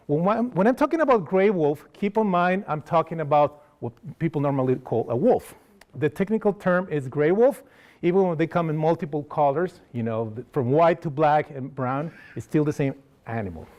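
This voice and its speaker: Man, Costa Rican Spanish accent, slightly high pitch, relaxed lecturer who quizzes the crowd. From Costa Rica. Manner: conversational, asks the audience questions, frequent restarts. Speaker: wildlife sanctuary educator giving a public talk. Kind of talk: public lecture to an audience. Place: lecture hall.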